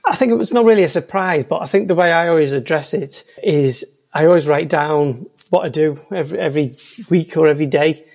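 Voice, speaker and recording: A man's voice: on the high side, fairly quick, gravelly, darkish tone. Scouse guy on a podcast, lots of ums and false starts, chatty. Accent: Liverpool. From Liverpool, England. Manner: hesitant, many ums and restarts. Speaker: podcast guest, senior consultant. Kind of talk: casual interview chat.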